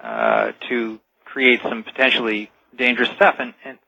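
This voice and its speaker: A man's voice: mid-range voice, huge pitch swings, normal speed, vocal fry, pushed and bright, dry recording. A man speaking as an expert radio guest, explaining technology informally in dull, lo-fi interview audio.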